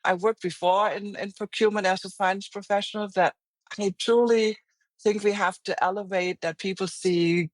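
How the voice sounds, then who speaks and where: A middle-aged woman speaks with a German accent, earnest and reflective, often restarting her sentences as she thinks aloud. Her pitch sits in a middle range. The recording is dry and close, with no room sound. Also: steady tone, moderate pace, no room sound. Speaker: guest, finance executive. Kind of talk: interview conversation.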